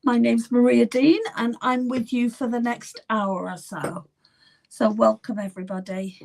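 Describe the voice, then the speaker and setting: A middle-aged woman hosting a live psychic reading session, chatting gently with viewers by name, her voice still a little croaky and at times falling to a whisper. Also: a little high, fairly even intonation, unhurried. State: slightly croaky voice. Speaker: psychic medium.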